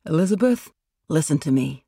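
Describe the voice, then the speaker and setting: A short-story reader acts out a heavy, gruff older male star. He is bossy and irritable, speaking in a tightly controlled, angry mumble. The recording is dry and close. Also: a little high, animated, normal speed, dark tone, no room sound. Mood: controlled anger.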